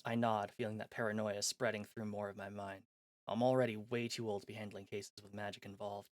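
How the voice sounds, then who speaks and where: A man streaming a visual novel, reading its text aloud and chatting between scenes in an animated, self-mocking, jokey way. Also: lowish voice, fairly quick, no echo, clean studio sound. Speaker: game streamer. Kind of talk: live-stream chat, reading a game aloud.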